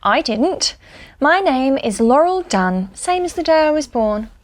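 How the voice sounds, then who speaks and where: A woman's voice: high voice, very animated, measured pace, voice pushed up. A woman voicing a playful, self-assured mother in a novel table read, teasing and emphatic. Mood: smug.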